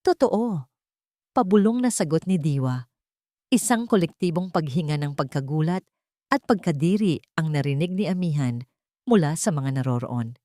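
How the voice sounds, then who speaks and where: A woman reading a scripted dramatic story as its narrator, in a measured, solemn storytelling manner. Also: sits low, expressive, mellow, no room sound, studio quality.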